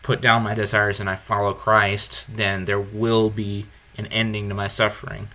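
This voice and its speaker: Man with a bright, forceful baritone. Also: monotone, moderate pace, low-quality recording. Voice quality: deep low baritone. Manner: reflective, candid, restarts phrases. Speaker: podcast host. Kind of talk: earnest conversational podcast talk.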